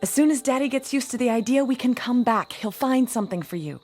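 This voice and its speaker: A woman's voice: fairly high pitch, animated, fast talker, a little mellow, faint music behind. An actress playing an impulsive, ardent young bride-to-be in a radio drama, earnest in her delivery. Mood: urgent, elated. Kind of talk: dramatic radio play acting.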